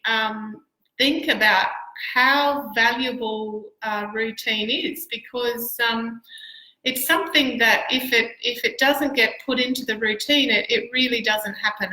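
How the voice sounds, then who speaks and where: Casting: middle-aged Australian woman, very bright voice, casual livestream teacher chat, recorded in an echoey room. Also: on the high side. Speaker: piano teacher. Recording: roomy, echoey livestream sound.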